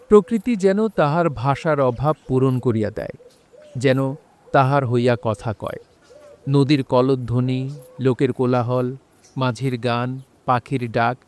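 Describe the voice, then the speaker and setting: A man narrating a literary audio drama in a measured, poetic recitation. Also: mid-range voice, darkish tone, clean tone, no echo.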